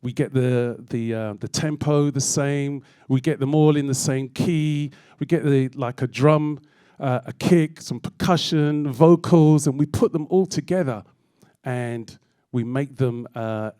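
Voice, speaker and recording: A middle-aged man from London speaks to a morning audience in a friendly, rambling way, pausing on ums. His accent is plain London. The sound is dry and close. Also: mid-range voice, dark tone, smooth, no echo. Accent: London English. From London, England. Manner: friendly, chatty, with frequent ums. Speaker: guest speaker. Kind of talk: live talk to an audience. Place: event hall with audience.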